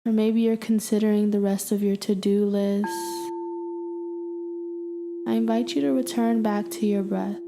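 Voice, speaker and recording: A woman leading a guided meditation in a soothing, gentle manner, leaving long pauses between invitations. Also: mid-range voice, level tone, gravelly. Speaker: meditation guide and podcast host. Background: ambient meditation music with humming tones.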